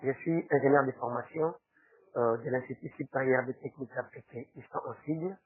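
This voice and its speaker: A husky-voiced man with a Congolese accent answers as a spokesman. He speaks formally but hesitantly, often tagging his phrases with small questions. The recording is tinny and narrow, like a phone line. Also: mid-range voice, fairly quick. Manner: hesitant, with frequent tag questions. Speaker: interview guest, foundation spokesman. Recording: roomy, tinny phone-like sound.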